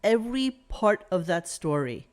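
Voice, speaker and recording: A woman's voice: medium pitch, very animated, normal speed. A woman hosting a talk show with casual, mocking commentary, sarcastic and exasperated, swearing and repeating phrases.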